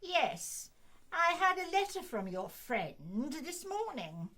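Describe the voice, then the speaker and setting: An actress playing a sensible, sarcastic teenage younger sister in a radio play, speaking in a dry, scolding manner. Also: female voice, high-pitched, sing-song, slow pace, sharp tone, heavily acted. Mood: accusing.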